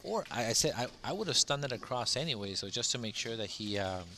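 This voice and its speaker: Gravelly-voiced man calling a pool match. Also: mid-range voice, fast, faint music behind. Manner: relaxed play-by-play, gravelly. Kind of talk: live stream commentary. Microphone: headset mic.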